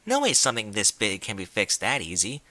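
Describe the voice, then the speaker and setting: A man's voice: fairly low pitch, huge pitch swings, brisk, pushed and bright, no echo, exaggerated voice. A man voicing a brash, cocky young female pegasus pony in a story, talking in a blunt, slangy way. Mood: skeptical. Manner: blunt, slangy, casual delivery.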